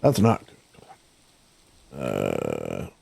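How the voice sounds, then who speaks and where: Man, hoarse, low gravelly voice, dark husky tone, mumbling to himself, casual game commentary, dry close mic. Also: wildly swooping pitch, drawn-out words. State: hoarse, losing his voice. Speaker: gaming streamer.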